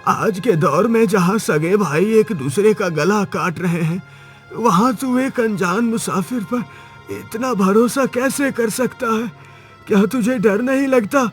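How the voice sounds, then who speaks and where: A man playing a weary, wounded old traveller in a cartoon moral story, speaking plaintively. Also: sits high. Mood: doubtful, wary. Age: older. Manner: slow, plaintive, drawn-out delivery.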